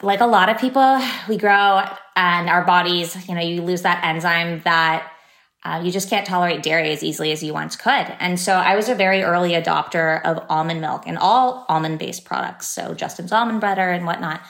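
A woman's voice: lowish voice, a little fast, fairly bright tone. A woman in her thirties from San Francisco, a company founder telling her story in a podcast interview in an upbeat, chatty way. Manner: upbeat, chatty, many fillers. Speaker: startup founder and guest. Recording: echoey room.

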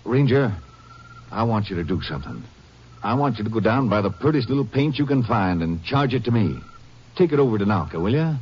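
Middle-aged rancher dad, gruff but decent, lively swings in pitch, dull hissy vintage radio sound. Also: male voice, fairly quick. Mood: remorseful, earnest. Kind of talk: 1950s radio western acting. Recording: dull, hissy old radio broadcast recording.

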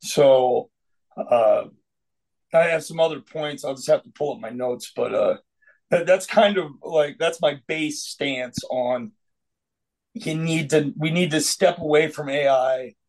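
A man's voice: a little high, unhurried, darkish tone, rough recording. A man on a podcast roundtable, arguing his view with frequent restarts and fillers. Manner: opinionated, hesitant restarts. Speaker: roundtable panelist. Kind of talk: casual podcast discussion.

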